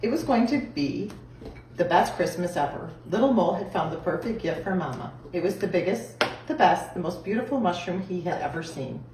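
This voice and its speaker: Expressive woman reading, very lively pitch. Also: a little fast. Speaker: storybook reader. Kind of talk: expressive children's story reading. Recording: echoey room, distant mic, faint noise.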